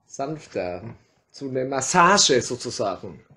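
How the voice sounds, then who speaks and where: A man's voice: mid-range voice, sing-song, slow pace, bright, forceful tone, exaggerated voice. A man playing a bumbling, hesitant house painter in an amateur comedy radio play, stammering with many fillers.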